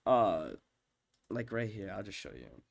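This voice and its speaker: A young man with a stuffed-up, nasal voice from being sick, rambling casually with profanity and lots of fillers, often trailing off quietly while he ranks his own tracks. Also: fairly low pitch, very animated, a little slow, creaky. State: congested, sick with stuffed-up nose. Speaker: music producer ranking his own songs. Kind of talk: rambling casual screen-share commentary.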